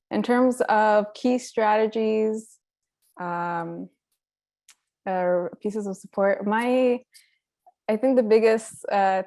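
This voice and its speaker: A woman from California, a new professor, introducing herself on a panel in a relaxed, conversational way. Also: mid-range voice, no room sound, studio quality. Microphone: video call.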